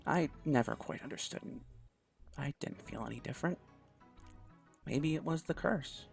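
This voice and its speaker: A man narrating a visual novel aloud in a measured, storytelling way. His voice is gravelly with a creaky rasp. Faint music runs softly underneath. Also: fairly high pitch. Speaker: game streamer.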